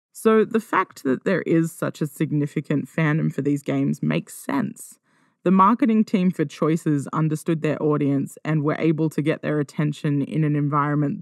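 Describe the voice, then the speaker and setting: Higher-pitched man, wry podcast narration. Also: dry recording, clean studio sound. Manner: wry, informal. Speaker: podcast host. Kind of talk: scripted podcast narration with informal asides.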